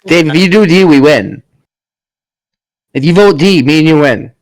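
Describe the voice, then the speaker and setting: A man's voice: fairly high pitch, moderate pace, no room sound. A man playing a party game with friends, reading story narration aloud in between casual remarks. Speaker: player reading narration and his character's lines.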